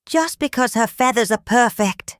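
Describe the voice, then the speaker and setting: A woman's voice: a little high, animated, normal speed, no echo, studio quality, voice pushed up, lightly acted. A woman voicing a sulky, jealous young hen in a children's storybook, grumbling and petulant. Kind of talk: storybook character reading.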